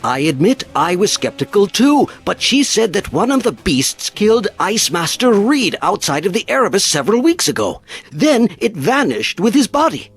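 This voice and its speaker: An actor playing a stern but fair-minded naval captain in a period audio drama, formal and authoritative. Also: male voice, high voice, big pitch swings, normal speed, soft background music. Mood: grave.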